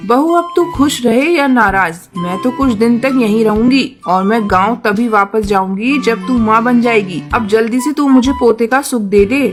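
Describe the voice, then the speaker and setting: Casting: woman plays demanding older bird mother-in-law, reproachful, raised pitch, cartoon over music. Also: normal speed, few pauses, close to an everyday voice. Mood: reproachful, insistent. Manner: insistent, reproachful. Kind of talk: Hindi children's cartoon dubbing.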